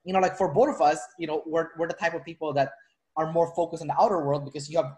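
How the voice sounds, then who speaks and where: A man's voice: on the high side, fairly even intonation, fast talker, darkish tone. A man from the Philippines hosting a casual interview, talking in loose, rambling sentences full of fillers and restarts. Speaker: interviewer, personality trainer. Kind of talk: casual video-call interview.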